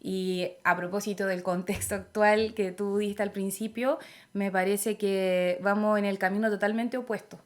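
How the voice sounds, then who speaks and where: A young woman with a Chilean accent speaks as an interview guest. She is thoughtful and measured, often hesitating with soft fillers while she gathers her ideas. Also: mid-range voice, fairly even intonation. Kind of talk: reflective conversational interview.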